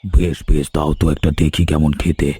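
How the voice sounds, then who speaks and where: A man voicing a kindly elderly village man in an animated cartoon, speaking gently and fatherly. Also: extremely low pitch, a little fast, breathy edge, no room sound, full character voice. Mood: nostalgic. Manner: gentle, fatherly, calls others "ma".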